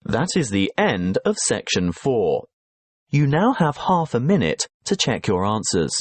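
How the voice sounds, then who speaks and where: A man reading a scripted lecture and exam instructions in a measured, clearly enunciated way. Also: medium pitch, big pitch swings, dry recording. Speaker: exam recording narrator.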